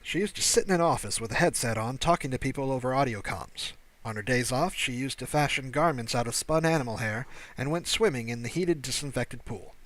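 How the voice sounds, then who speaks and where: A man's voice: mid-range voice, lively intonation, fairly quick, a bit bright, no echo, close to an everyday voice. Man playing a wry, breezy alien rescuer. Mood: wry, amused. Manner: breezy, dryly teasing, persuasive. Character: wry, kindly male alien rescuer with secret amusement. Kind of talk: audiobook character dialogue.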